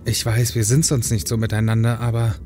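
Actor as an earnest, coaxing brother. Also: male voice, mid-range voice, little pitch movement, brisk, darkish tone, slight background noise, natural voice. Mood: concerned, coaxing. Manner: earnest, persuasive. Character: concerned, coaxing brother, pushy but caring. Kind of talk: fan audio drama acting in German.

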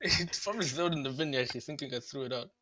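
A man's voice: on the high side, racing, highs cut off, very noisy. A young man making casual, slangy reaction banter in a teasing manner on a group call. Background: background music.

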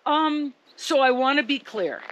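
A woman's voice: high-pitched, lively intonation, measured pace, slight background noise. Emphatic woman coaching outdoors. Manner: emphatic, upbeat, loud. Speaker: ski coach. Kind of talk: outdoor ski instruction to camera. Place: outdoors in snow by a ski rack. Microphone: camera a few meters away.

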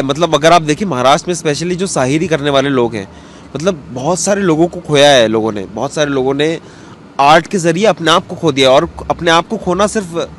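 A man, giving an earnest, reflective interview answer mixed with English words. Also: fairly high pitch. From western Uttar Pradesh, India. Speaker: interview guest, cartoonist. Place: outdoor bench in a public square. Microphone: handheld interview mic held toward him. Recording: outdoor street ambience with background noise.

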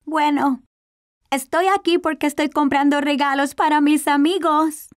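A curious little cartoon boy, extremely high-pitched and full of childlike excitement. The accent is Latin American Spanish, and music runs underneath. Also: measured pace. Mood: earnest, eager. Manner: wide-eyed, childlike. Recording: music behind the voice.

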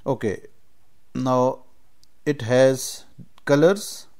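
A man giving an informal step-by-step software tutorial as instructor, speaking haltingly and often checking in with short tags. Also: medium pitch. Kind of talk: informal screen-share tutorial, explaining step by step.

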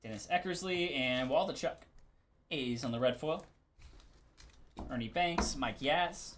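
A male host with a mid-pitched voice. He talks casually through a live card opening, calling items out in short, clipped phrases. His delivery is laid-back and conversational. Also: unhurried, fairly bright tone, a little hiss or hum.

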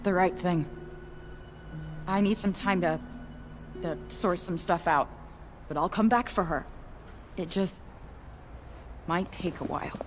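A woman's voice: lowish voice, slow, pauses often. A woman playing a troubled, scrappy young woman in a video game, with a blunt, sarcastic manner. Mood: subdued, resolute. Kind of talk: naturalistic video game cutscene acting. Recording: music behind the voice.

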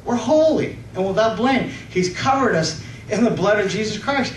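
High-voiced man preaching, roomy sound. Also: lively intonation. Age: middle-aged. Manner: halting, nervous delivery with frequent self-corrections and amens. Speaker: preacher at a church conference. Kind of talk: sermon with scripture reading. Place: church hall stage, indoors. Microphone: stand microphone, picked up by far camera. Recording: roomy, distant microphone with faint noise.

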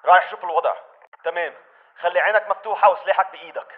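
A man's voice: high voice, very fast, phone-quality sound. An actor playing a wary male militant on patrol in a video game, giving terse lines to comrades in Levantine Arabic. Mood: wary, tense. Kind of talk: video game character dialogue.

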